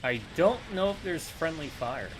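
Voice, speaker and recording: A man hosting a live gameplay stream, chatty and opinionated, with quick agreeing interjections. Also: a little high, lively intonation, noisy background. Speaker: gaming channel host. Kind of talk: casual gameplay chat.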